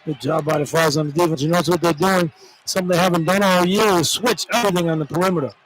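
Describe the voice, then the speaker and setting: High-voiced male basketball colour commentator. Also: steady tone, fast talker, crowd noise. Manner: informal, plain-spoken, opinionated. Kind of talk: conversational live basketball analysis. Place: courtside in a college basketball arena. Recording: live arena broadcast with clear background noise. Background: noisy arena ambience.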